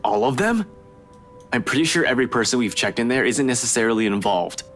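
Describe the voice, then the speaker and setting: A man's voice: medium pitch, very animated, a little fast, a bit bright, soft background music. A man playing a skeptical, wry young man in a visual novel, talking in a dry, doubtful way.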